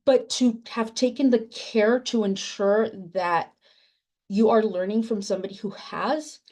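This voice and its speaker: A woman's voice: a little high, fairly even intonation, a little slow, darkish tone. A woman whose first language is Spanish, answering a panel question earnestly on a video call, with many fillers and restarts. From immigrant, first generation. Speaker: book editor on a panel.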